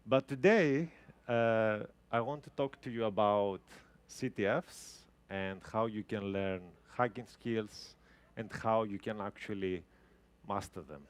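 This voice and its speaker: A man's voice: on the low side, a little slow, clean tone. Man giving a tech talk. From Greece. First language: Greek. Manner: casual, explanatory, with frequent restarts. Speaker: guest speaker. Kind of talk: tech talk to a live audience. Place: talk venue with a live audience.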